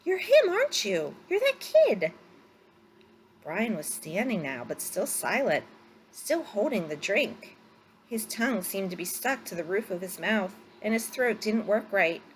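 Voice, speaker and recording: A woman narrating a story aloud from a script, phrasing it deliberately for drama. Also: medium pitch, expressive, slight background noise, low-quality recording.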